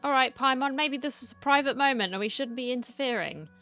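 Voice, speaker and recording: A woman's voice: sits high, fast talker, bright, forceful tone, faint music behind. Casual woman reacting to a game. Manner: offhand, informal. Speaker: gaming streamer.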